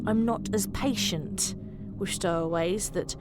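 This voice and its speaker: A woman's voice: medium pitch, measured pace, close to an everyday voice. A woman playing a stern, impatient veteran spaceship captain in an audio drama, curt and sarcastic. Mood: irritated. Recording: voice under loud music.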